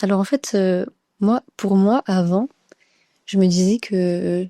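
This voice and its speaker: A woman's voice: mid-range voice, mellow, no room sound, studio quality. A young woman tells her story casually as a podcast guest, hesitantly and repeating words for emphasis. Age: young adult.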